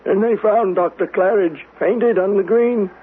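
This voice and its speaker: An actor playing a vengeful, devout man over ninety in a radio mystery drama, with a solemn, deliberate, fervent delivery. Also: high voice, breathy edge. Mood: solemn insistence. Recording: noisy, tinny old radio broadcast recording.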